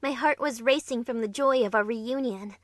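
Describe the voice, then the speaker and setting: A woman's voice: fairly high pitch, normal speed, dry recording, studio quality, lightly acted. A woman playing an anxious, emotional young survivor, narrating a scripted drama in the first person with earnest feeling. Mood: tense, heartfelt. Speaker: narrator and lead character in an amateur fan dub. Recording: music playing behind the voice.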